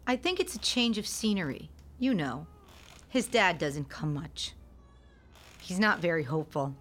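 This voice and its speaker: A woman's voice: on the low side, big pitch swings, a little slow, a bit bright. A woman acting in a radio play as a tired, practical mother who is direct and no-nonsense. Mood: weary, downcast. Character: tired, blunt, practical mother of a sick baby.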